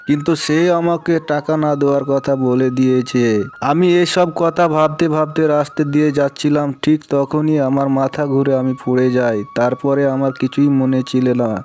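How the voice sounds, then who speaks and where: A man's voice: mid-range voice, darkish tone, lightly acted. A man playing a troubled, humble village father in a cartoon moral story, earnest and plaintive.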